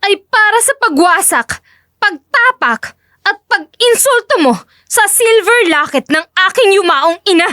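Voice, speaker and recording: A woman voicing a vengeful young wife who secretly is an agent, speaking in a forceful, accusing, dramatic way. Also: extremely high pitch, expressive, measured pace, dry recording, studio quality, pitched above the performer's own voice, exaggerated voice. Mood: furious.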